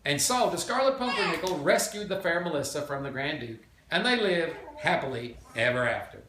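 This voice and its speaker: An older man reading a children's storybook aloud in an animated, grandfatherly style. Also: on the high side, brisk. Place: indoors at home, children around. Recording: echoey room, background noise.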